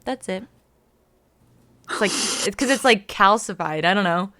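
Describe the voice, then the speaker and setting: A woman's voice: medium pitch, lively intonation, a little slow, bright, forceful tone. A young adult woman chatting casually in a group as one of the readers on a stream.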